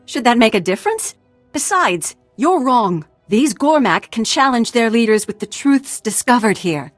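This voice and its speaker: A woman's voice: fairly high pitch, very animated, moderate pace, no echo, soft background music. A woman acting a principled, defiant fighter in a video game, speaking firmly and earnestly. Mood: insistent. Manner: earnest, idealistic, firm.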